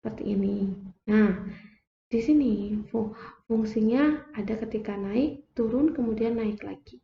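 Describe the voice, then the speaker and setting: A young woman explaining a math lesson slowly, step by step, like a teacher at a board. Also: fairly high pitch, vocal fry, a little hiss or hum. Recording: echoey room, dull lo-fi sound.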